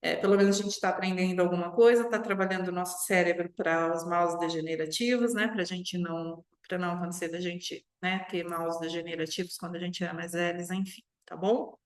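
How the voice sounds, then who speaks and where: A woman's voice: fairly low pitch, fairly even intonation, a little fast, hardly any pauses. A Brazilian woman giving an online English lesson as a teacher, instructive and often checking in with short tag questions. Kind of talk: online language lesson to viewers. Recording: roomy, echoing sound.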